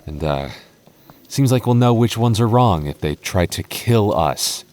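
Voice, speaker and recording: A man's voice: fairly low pitch, expressive, darkish tone. A man playing an anxious, protective goth boyfriend in an audio roleplay, stammering and wry as he talks closely to his partner. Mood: uneasy. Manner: stammering, halting, nervous, wry.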